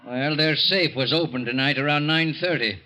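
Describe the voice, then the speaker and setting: A hard-nosed police captain in an old radio crime play. His voice is bright and forceful, pitched a little high, and his questions come curt and doubting. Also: normal speed, low-quality recording. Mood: probing. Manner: curt, pressing interrogation. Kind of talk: old-time radio drama acting.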